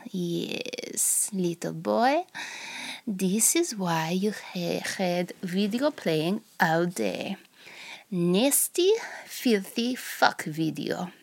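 A woman voicing a seductive female Russian interrogator in an over-the-top put-on Russian accent, teasing in a breathy whisper. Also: on the low side, heavily acted. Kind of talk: amateur audio roleplay.

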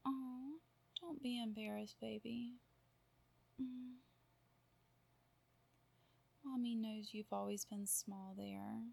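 Woman, fairly high and very even pitch, unhurried, soft cooing whisper for intimate roleplay. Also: vocal fry, breathy edge. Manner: soft cooing, half-whispered, drawn-out murmurs. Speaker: roleplay performer.

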